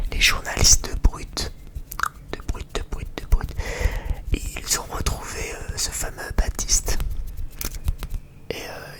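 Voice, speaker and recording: An ASMR creator chatting in a rambling, hesitant way, often repeating phrases. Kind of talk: whispered ASMR chit-chat.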